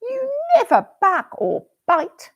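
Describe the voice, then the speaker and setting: A woman's voice: extremely high pitch, wildly swooping pitch, takes it slowly, fairly bright tone, voice pushed up, heavily acted. A woman voicing a dreamy, talkative little storybook girl. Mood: dreamy eager. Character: young girl Susie, dreamy and chatty.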